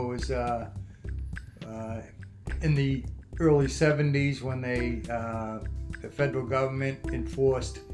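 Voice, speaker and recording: A man, reminiscing as an interview guest in halting, reflective phrases. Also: medium pitch. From South Boston, USA. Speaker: interview guest, former union president. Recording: music playing behind the voice.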